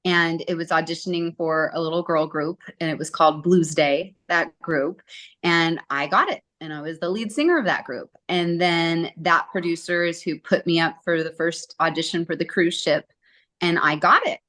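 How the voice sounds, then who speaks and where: A woman in her forties talks through her early years in a relaxed, chatty way. Her voice sits a little low for a woman. She keeps the story moving with lively asides. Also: normal speed. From Southern California. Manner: chatty, animated, acts out quotes. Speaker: interview guest, singer. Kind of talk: casual storytelling interview.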